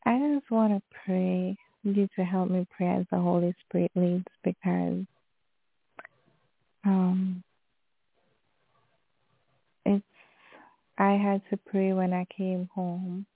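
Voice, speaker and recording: A woman with a Jamaican accent calling in with a prayer request, speaking slowly and devoutly with halting restarts, over a tinny phone line. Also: on the low side, little pitch movement, creaky, no room sound. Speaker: caller.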